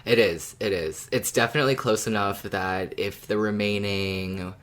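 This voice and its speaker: A man's voice: lowish voice, fairly even intonation, normal speed, a bit bright. A man co-hosting a podcast, chatting casually and reflectively with hesitant phrasing. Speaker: podcast co-host. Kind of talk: casual fan discussion chat.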